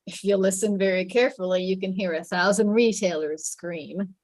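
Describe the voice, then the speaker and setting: A woman narrates stage directions at a script table read. Her voice sits at a middle pitch, and the sound is dry with no room echo. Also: steady tone, normal speed, a little mellow.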